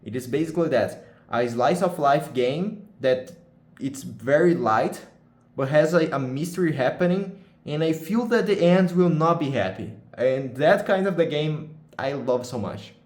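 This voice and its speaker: A man's voice: fairly high pitch, expressive, unhurried, a little mellow, echoey room. A young man from Brazil with a Brazilian accent, chatting casually in English as a second language, often restarting and searching for words. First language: Portuguese. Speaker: vlogger. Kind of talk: casual unscripted chat.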